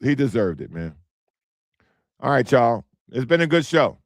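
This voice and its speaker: A man hosting his own podcast in casual, blunt commentary, repeating himself, with a hoarse, strained voice as he loses it. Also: medium pitch, sing-song, unhurried, dry recording, clean studio sound. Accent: American. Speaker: podcast host.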